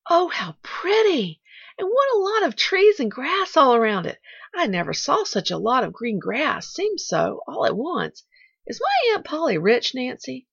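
Woman voicing a high, chattering, eager little orphan girl. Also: huge pitch swings, unhurried, gravelly, slightly rough, no echo, lo-fi sound, heavily acted. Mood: bright, eager. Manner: breathless, rambling, self-correcting. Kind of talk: audiobook character voice.

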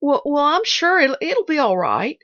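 Woman acting a flustered, high-voiced, folksy hired girl. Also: sing-song, unhurried, gravelly, slightly rough, no echo, muffled recording, full character voice. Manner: stammering, folksy uneducated speech. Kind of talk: audiobook character voice.

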